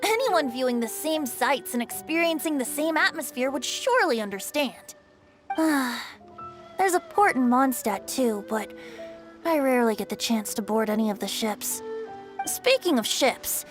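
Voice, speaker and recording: A woman's voice: sits high, lively intonation, unhurried, faint music behind. A woman voicing a carefree boyish bard in a video game, playful, theatrical and wheedling. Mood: breezy.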